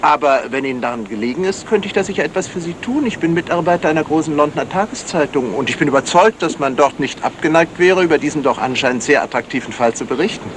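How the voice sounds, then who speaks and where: A man's voice: fairly high pitch, lively intonation, quick pace, few pauses, natural voice. A man playing a courteous, wry amateur detective and writer in a 1970s radio crime drama. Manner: polite, suave, wry. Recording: noisy 1970s radio play recording.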